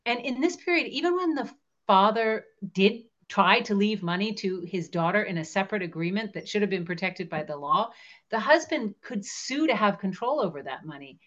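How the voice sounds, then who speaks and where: A woman's voice: medium pitch, a bit bright, lo-fi sound. A woman co-hosting a show, explaining history in a discursive, educational way. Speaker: show co-host.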